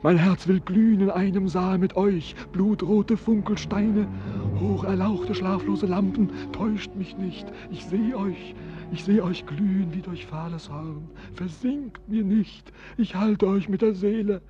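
An actor playing a melancholy, brooding sailor who declaims in a solemn, poetic, visionary way in a German radio drama. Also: male voice, high-pitched, steady tone, measured pace, muffled recording, music in the background. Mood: fervent, visionary. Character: melancholy, brooding male sailor, estranged from life, longing for earth.

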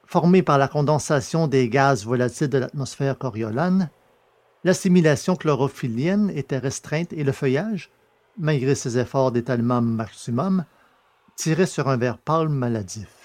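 A man's voice: medium pitch, darkish tone. A male audiobook narrator with a mid-range voice. He reads scripted, literary science fiction prose in careful phrases, like a podcast host presenting a serial.

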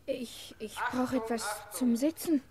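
A woman's voice: fairly high pitch, unhurried, a crowd in the background, natural voice. An actress playing a dutiful, slightly flustered young female secretary in a German radio play, deferential and hesitant.

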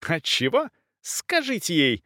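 A haughty young countess in an audiobook drama, voiced by a man, pitched well up from his own register. The delivery is indignant and emphatic, with big swings in tone and a dry, close sound. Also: huge pitch swings, slow pace, bright, forceful tone, no echo, heavily acted. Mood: furious shouting. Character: young furious countess, haughty, shrill-tempered, scandal-making.

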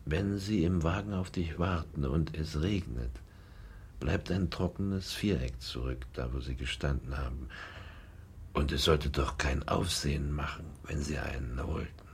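Low-voiced, haunted middle-aged man. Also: measured pace, a little hiss or hum. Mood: hushed, haunted. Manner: brooding storytelling, dropping to hushed tones. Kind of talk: radio drama acting.

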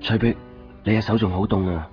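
A low-voiced, mild young Hong Kong shopkeeper, played by a male actor tells his story plainly in a radio drama. He is gentle and easygoing. The recording is dull, with music clearly playing behind him. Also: fairly even intonation, a little fast, close to an everyday voice. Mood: caring. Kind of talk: radio drama narration and dialogue. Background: prominent background music.

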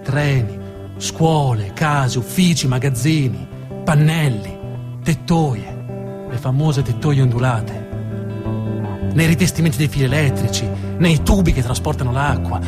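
A man performing dramatic scripted narration as a theatre storyteller, with a deliberate, emphatic delivery and dramatic pauses. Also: fairly high pitch.

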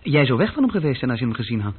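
A man's voice: medium pitch, very animated, racing, husky. An actor playing a restless young man telling of his adventures in a conversational radio-drama style. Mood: earnest, confiding. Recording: dull, lo-fi old radio recording.

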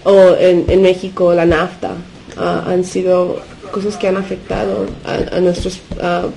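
A woman from the United States, a native Spanish speaker, talking unscripted as a radio interview guest. She speaks haltingly, searching for words and slipping in English fillers. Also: lowish voice, little pitch movement, creaky, dark tone, slight background noise, poor audio. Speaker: interview guest from a punk collective.

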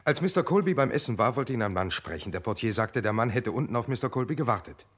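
A dutiful police sergeant in a radio mystery. He reports rapidly in a mid-pitched voice, respectful and clipped, deferring to his superior. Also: lively intonation, fairly bright tone. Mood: deferential, factual. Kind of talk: German radio crime play acting.

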